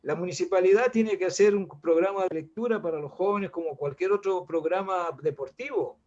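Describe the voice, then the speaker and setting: A high-voiced older man with a Chilean accent, speaking in a reflective, wandering way that is full of restarts and fillers. The sound is muffled and low-fidelity, like the audio of an online call. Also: a little fast, darkish tone. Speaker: guest artist. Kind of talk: casual panel discussion.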